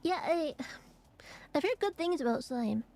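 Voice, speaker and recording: A young woman streamer chatting casually and rambling with fillers, her voice a little hoarse and raspy from allergies. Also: high voice, lively intonation, unhurried, a little mellow, faint music behind. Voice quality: raspy, phlegmy throat. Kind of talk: casual chat to stream viewers.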